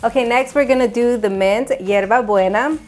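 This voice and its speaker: A woman's voice: on the high side, moderate pace. A woman teaches a recipe in her kitchen, cheerful and instructive, often laughing as she guides a helper. Faint music and the clink of pots and dishes sit behind her. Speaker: cooking show host. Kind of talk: cooking demonstration. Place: home kitchen.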